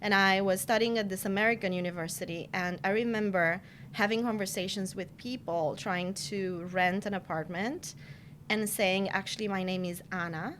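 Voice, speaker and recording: A woman in her forties from Romania, speaking at a middle pitch as a podcast guest. She talks in an earnest, reflective way, leaning on "you know" as she works through her story. A faint low rumble sits under the recording. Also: steady tone, moderate pace, a bit bright. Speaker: podcast guest, marketing director. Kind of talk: casual interview chat.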